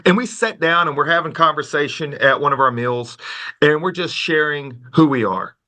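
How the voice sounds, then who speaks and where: A man hosting a conversational podcast interview in a folksy, polite, animated manner. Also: fairly high pitch, normal speed. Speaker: podcast host.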